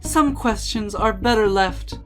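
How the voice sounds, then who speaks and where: Demon fly queen played by a woman: playful, grand, theatrical game-style villainess, slow delivery over soft music. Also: medium pitch, close to an everyday voice. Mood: teasing, musing. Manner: theatrical, drawn-out, grandly welcoming. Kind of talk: dramatic reading of game dialogue.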